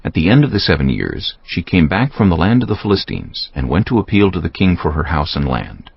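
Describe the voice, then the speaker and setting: A man's voice: low voice, sing-song, brisk, gravelly, husky, muffled recording. A man giving scripted narration of scripture as an audiobook narrator.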